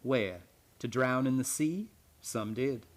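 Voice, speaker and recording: An actor playing a grim, blunt old island retainer in a radio play, with rustic, archaic phrasing full of ayes. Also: male voice, mid-range voice, slow, close to an everyday voice. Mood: bitter, grave.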